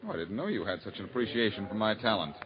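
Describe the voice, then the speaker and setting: An actor playing a breezy, wisecracking reformed crook in a 1940s radio drama. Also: male voice, fairly low pitch, sing-song, very fast. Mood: sly. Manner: breezy, wisecracking, sings to pass a message. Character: wisecracking reformed crook hero, adult man. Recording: lo-fi old radio broadcast.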